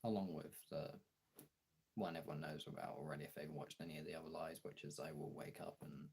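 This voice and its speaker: A man chatting casually as a streamer, thinking aloud haltingly as he searches for words and trails off. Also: a bass voice, steady tone, creaky, darkish tone, slightly rough.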